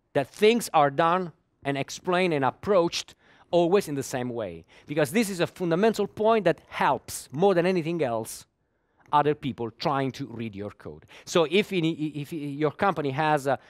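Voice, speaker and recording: A middle-aged man speaks English at a developer conference in a fairly high voice. He often stammers over repeated words as he searches for the next one. The sound is dry and close. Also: animated, normal speed, no room sound. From Italy. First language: Italian. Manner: repeats words while searching for them, with small laughs. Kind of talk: technical conference talk.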